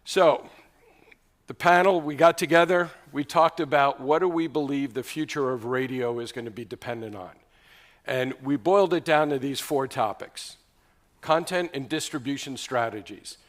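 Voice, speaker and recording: A man moderating a conference panel on radio, laying out topics in a brisk, structured way. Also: mid-range voice.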